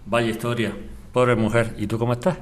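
A man's voice: mid-range voice, little pitch movement, a little fast, slight background noise, lightly acted. A man acting an earnest, apologetic married suitor in an amateur radio drama. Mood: concerned.

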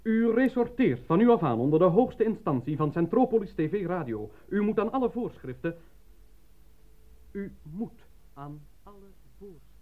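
An actor in a radio play voicing a formal, controlling male official with a measured, solemn and officious manner. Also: sits high, big pitch swings. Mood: grave, ominous. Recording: muffled lo-fi old radio recording.

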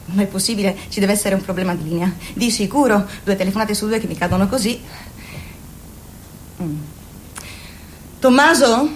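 A woman's voice: medium pitch, normal speed, long pauses, slight background noise, natural voice, rough recording. An actress playing a fussy, chatty middle-aged mother who nags her grown son and sells lipsticks by phone. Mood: sugary, flustered. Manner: nagging, pushy, overly sweet. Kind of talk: radio play acting.

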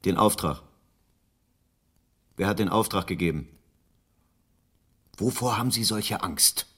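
A persistent, tough private detective in a radio crime drama. The voice sits on the low side, and the delivery is terse and pressing. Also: male voice, measured pace, fairly bright tone, husky. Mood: insistent. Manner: terse, insistent questioning.

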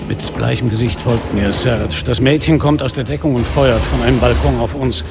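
An actor playing a weary, middle-aged police inspector in a radio drama, speaking and narrating in a dry, caustic manner. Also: male voice, mid-range voice, normal speed, close to an everyday voice. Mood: tense, grim narration. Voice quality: gravelly. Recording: reverberant, loud music behind.

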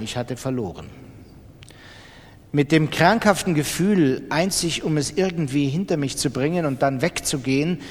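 A restless, superstitious gambler confides his scornful observations in a radio play. His voice sits fairly high and shifts emphasis with brooding intensity. Long hall reverb trails his words. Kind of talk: literary radio drama monologue.

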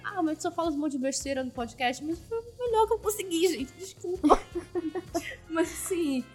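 A woman podcaster chatting casually in an animated, self-deprecating way. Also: very high-pitched, moderate pace, faint music behind.

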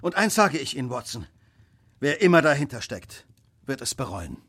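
A man acting in a radio drama as a brilliant, brooding detective, husky, intense and commanding, with clipped deductions. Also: mid-range voice, very animated, moderate pace, fairly bright tone, a little hiss or hum. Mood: sharp, resolute. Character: brilliant brooding detective, driven by guilt and fierce resolve.

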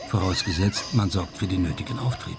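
An amateur sleuth in a radio crime drama. His fairly low voice reasons step by step with questions, and music plays clearly underneath. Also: a little fast. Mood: explaining. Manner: didactic, questioning.

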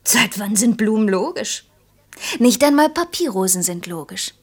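An actress playing a restless, defiant young female student in a radio play, with a breathy voice that often drops toward a whisper. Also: medium pitch, lively intonation, moderate pace. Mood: defiant, wistful. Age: young adult. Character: restless, defiant eighteen-year-old female student, unsure and curious. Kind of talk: German radio play dialogue.

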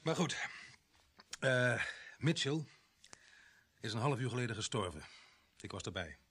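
An actor in a radio play playing a grumbling, hesitant village police sergeant who stammers when unsure. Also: male voice, medium pitch, big pitch swings, a little slow, a bit bright, slightly rough, exaggerated voice. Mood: matter-of-fact. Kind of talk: naturalistic radio play acting.

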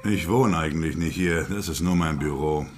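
A man's voice: a bass voice, moderate pace. An actor playing a sly male hustler who poses as a pious nun in a radio drama. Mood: dry, sly.

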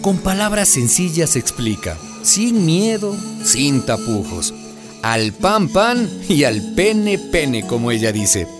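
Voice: mid-range voice, big pitch swings, slow. A performer playing a wry, folksy storyteller, narrating a radio drama in a playful manner. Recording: music behind the narration.

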